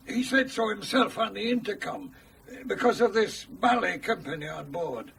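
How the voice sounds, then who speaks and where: A man's voice: sits high, sing-song, measured pace, creaky, a bit bright, recorded from a distance, a little hiss or hum, exaggerated voice. A man acting in a radio drama as a self-important, fussy travelling salesman being interviewed, talking garrulously. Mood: fussy, aggrieved.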